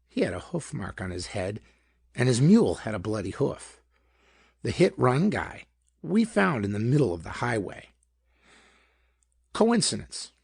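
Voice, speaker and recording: A man's voice: mid-range voice, creaky, husky, no echo, studio quality, exaggerated voice. A man voicing a plain-spoken, worried small-town lawman in a pulp detective story, with slangy, colloquial talk. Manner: colloquial, slangy, hesitant explaining.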